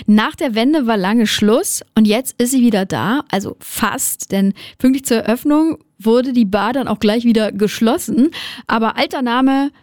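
A woman's voice: medium pitch, darkish tone, no echo. Chatty female radio presenter, dry studio sound. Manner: chatty, informal, playful asides. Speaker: radio host.

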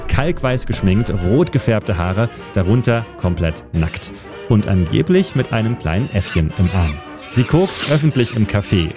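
A male radio editor telling a history segment in scripted narration, with dry, wry punchlines. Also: fairly low pitch. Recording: music bed behind voice.

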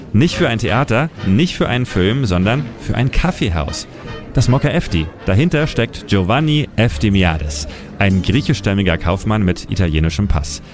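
A man presenting as a city guide, narrating a scripted historical story for radio. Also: on the low side.

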